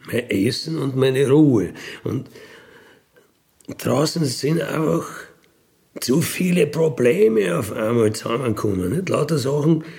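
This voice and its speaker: An older man with an Austrian accent telling a reflective personal story in a halting, colloquial way with little questioning tags. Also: medium pitch, vocal fry, mellow. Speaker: former prisoner telling their life story. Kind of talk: reflective autobiographical monologue.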